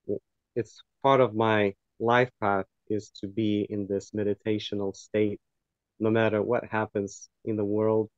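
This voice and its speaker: Guy from ukraine, guest on a show, calm with lots of uhs, dead dry audio. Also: lowish voice, dry recording, highs cut off. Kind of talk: casual interview chat.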